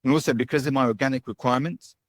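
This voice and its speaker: A man's voice: medium pitch, fairly even intonation, very fast, dry recording. A middle-aged man from the UK, a guest giving chatty, casual interview answers and tagging his points with "right?". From United Kingdom. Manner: chatty, tags points with "right?" and "you know". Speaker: guest, digital nomad and business owner.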